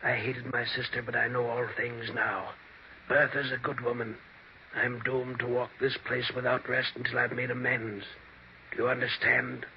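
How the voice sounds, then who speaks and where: A man's voice: mid-range voice, completely flat delivery, close to an everyday voice. An actor playing the brooding, remorseful ghost of a dead man, solemn and insistent, in 1940s radio mystery style. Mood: grave, insistent. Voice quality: hushed, breathy whispering at times. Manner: solemn, slow pleading warnings. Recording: dull, hissy 1940s radio recording.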